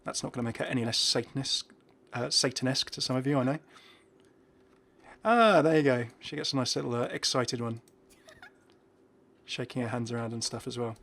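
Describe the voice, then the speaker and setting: A man with a medium-pitched voice hosts a gaming video. He talks casually and off the cuff, chatting through what is on screen. His delivery is a little hesitant as he reacts and thinks aloud. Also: fairly quick, creaky, long pauses, a bit bright. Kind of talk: casual unscripted game commentary.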